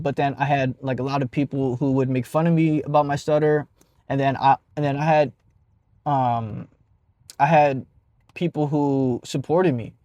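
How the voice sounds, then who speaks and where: A man's voice: a little high, darkish tone, no echo. A young man with a stutter telling a casual personal story as a vlogger, with many fillers and restarts.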